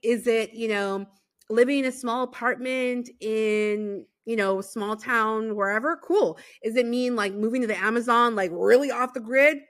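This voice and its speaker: A woman's voice: fairly high pitch, fairly even intonation, moderate pace. A woman from Los Angeles hosting a podcast, chatting animatedly with lots of fillers and laughter. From Los Angeles, USA. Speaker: podcast host. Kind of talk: casual interview chat.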